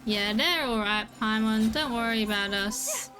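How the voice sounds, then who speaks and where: A woman's voice: fairly high pitch, lively intonation, a little slow. A woman streaming a game, giving casual reaction commentary as she plays.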